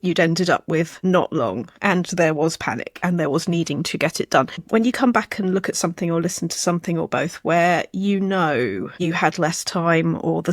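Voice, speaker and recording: A woman hosting a conversational interview, phrasing her questions in a deliberate, emphatic way. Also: fairly low pitch, no room sound, studio quality. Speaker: interviewer, host.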